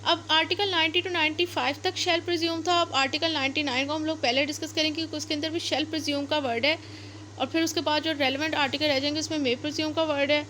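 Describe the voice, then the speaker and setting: Pakistani lady teaching law, really high voice, explains stuff step by step, bit of noise behind her. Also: fairly even intonation, brisk, pushed and bright. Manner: repetitive step-by-step explaining, code-switching into English terms. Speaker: law lecturer. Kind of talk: explanatory lecture, reading out and explaining.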